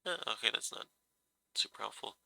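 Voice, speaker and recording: A man's voice: fairly quick, bright, forceful tone, dry recording. A man with a stuffy nose and a slightly scratchy voice from a cold, musing hesitantly as he talks himself through a puzzle and corrects himself. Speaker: puzzle solver. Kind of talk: casual thinking-aloud puzzle solving.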